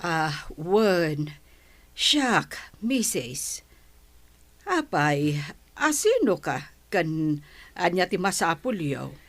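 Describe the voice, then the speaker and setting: Slow-talking, scolding middle-aged housewife. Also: female voice, lowish voice, sing-song, exaggerated voice. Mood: guarded. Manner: blunt, complaining. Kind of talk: radio drama acting.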